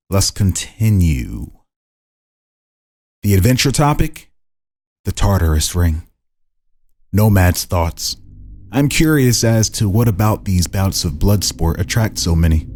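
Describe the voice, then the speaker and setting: A man's voice: deep voice, a little mellow. A man reading a fantasy story aloud as a podcast host, in an informal manner, breaking off for casual asides. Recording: background music under the voice.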